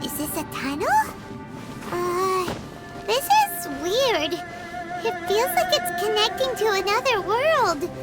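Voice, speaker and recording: A woman voicing a small, childlike fairy companion in a video game, blunt and excitable, with music behind. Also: very high-pitched, slow pace, full character voice. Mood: amazed.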